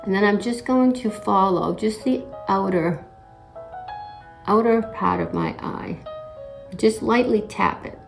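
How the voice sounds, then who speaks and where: A middle-aged woman with a slight cold and a little raspy voice, talking through a makeup tutorial step by step in a relaxed, casual way and clearing her throat now and then. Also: medium pitch, slow pace, long pauses, faint music behind. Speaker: makeup vlogger.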